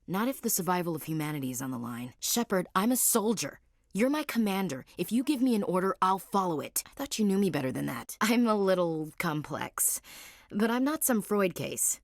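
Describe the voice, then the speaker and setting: A tough, proud young marine, with a low pitch and a blunt, dry, clipped way of talking. Her lines come through in a dead studio with no room sound. She stays guarded and wry between the orders. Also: dry recording. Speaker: game character dialogue. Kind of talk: studio game voice acting.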